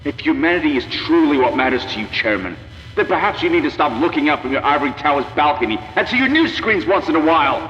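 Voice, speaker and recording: An actor playing an indignant, accusing colonial representative giving a rhetorical speech over a broadcast in an audio drama. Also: male voice, on the high side, sing-song, quick pace. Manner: forceful, rhetorical. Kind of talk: political oratory in audio drama. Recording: echoey hall, dull broadcast sound.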